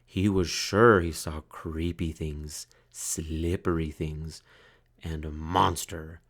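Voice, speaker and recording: A man's voice: very deep voice, fairly even intonation, takes it slowly. A man reading a children's storybook aloud as narrator, in scripted storytelling style.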